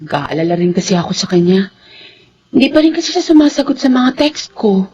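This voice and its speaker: A woman's voice: mid-range voice, sing-song, brisk, mellow, husky, muffled recording. An actress playing a kind, hospitable young Filipino woman in a radio drama, friendly and considerate. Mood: concerned.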